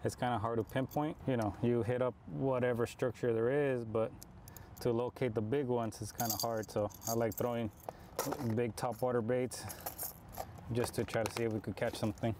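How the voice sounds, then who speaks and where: Male, 30s, casual fishing vlogger, mid-pitched, camera mic outdoors, audible background noise. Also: steady tone, normal speed, mellow. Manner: laid-back, explains as he fishes. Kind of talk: casual chat while fishing. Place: outdoor park pond.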